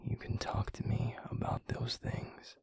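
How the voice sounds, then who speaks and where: A man playing a tender, protective werewolf boyfriend in an intimate ASMR roleplay, speaking in a breathy, gravelly, soothing whisper. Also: dry recording. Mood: tender, reassuring. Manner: soothing, slow, gently coaxing. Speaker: audio roleplay voice actor.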